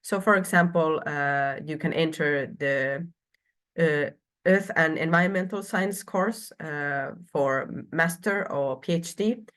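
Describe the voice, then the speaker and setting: A woman's voice: deep voice, takes it slowly, fairly bright tone. A woman from Finland in her thirties presenting a university program online, with frequent hesitations and filler sounds.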